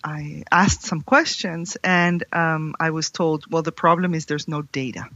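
A woman's voice: deep voice, steady tone, unhurried, fairly bright tone, no room sound. A middle-aged woman from Ecuador, a company founder, answering interview questions thoughtfully with examples. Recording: dry, dull lo-fi sound.

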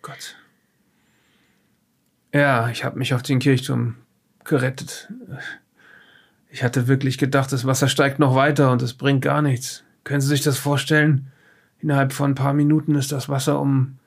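An actor playing a peevish, well-off scholar who survived a flood, speaking hesitantly and fussily in an improvised audio drama. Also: male voice, medium pitch, flat delivery, unhurried, creaky, long pauses. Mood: shaken. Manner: hesitant, fussy, snobbish.

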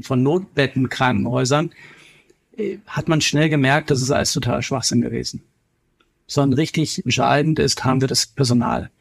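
A man from northern Germany answering as an interview guest, explaining things at length in a measured, earnest way. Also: mid-range voice, gravelly. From Kiel, northern Germany. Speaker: interviewed guest, healthcare executive and author.

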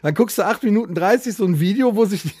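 A man's voice: high voice, fast, darkish tone, slightly rough. A man with a German accent co-hosting a casual podcast, talking in a jokey, blunt, colloquial way. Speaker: podcast co-host.

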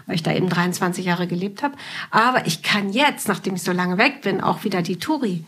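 A woman's voice: on the low side, a little fast, fairly bright tone, breathy edge. Chatty woman hosting a casual interview. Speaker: podcast host and writer.

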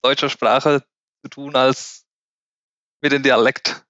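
A man's voice: medium pitch, little pitch movement, takes it slowly, sharp tone, no room sound, highs cut off. A man from Spain with a Spanish accent and a regional German dialect, a podcast guest speaking German carefully and sometimes searching for words. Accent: Spanish, with a Lower Franconian German dialect from the Aschaffenburg area. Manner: careful, searching for words, occasional grammar slips. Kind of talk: casual interview chat.

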